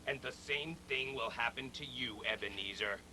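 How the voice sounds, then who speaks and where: A man voicing a mournful, regretful ghost in chains who warns gravely and solemnly in a storybook read-along. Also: medium pitch, background noise, close to an everyday voice. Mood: anguished regret. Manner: grave, slow, wailing, solemn.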